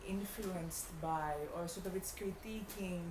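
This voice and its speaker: A woman from Greece with a Greek accent, a non-native English speaker, answering interview questions reflectively and haltingly as she searches for words. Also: on the low side, steady tone. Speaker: artist being interviewed. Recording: echoey room with clear background noise.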